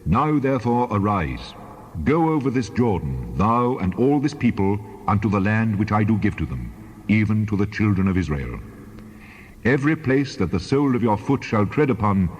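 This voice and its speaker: Man acting a husky, echoing voice of God. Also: lowish voice, very animated. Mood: solemn, commanding. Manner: solemn, measured scriptural delivery. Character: God, commanding and reassuring divine voice. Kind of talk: dramatized audio Bible. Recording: long reverberant hall.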